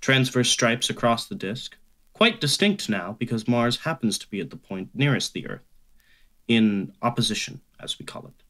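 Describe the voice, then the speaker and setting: A man playing a learned, calmly dismissive astronomy professor in an old-time radio drama, with a formal, precise manner. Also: medium pitch, normal speed.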